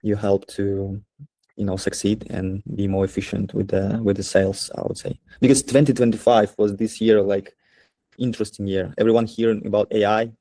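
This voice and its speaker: A man in a casual business chat, speaking English as an in halting, agreeable phrases. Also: fairly low pitch, flat delivery, warm tone, no echo. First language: not English. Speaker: prospective client.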